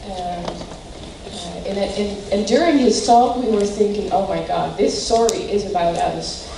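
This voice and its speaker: A woman hosting an event, introducing the speaker in a halting way with many fillers. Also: medium pitch, vocal fry, noisy background. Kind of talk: speech introduction. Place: large hall. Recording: echoey hall from far off.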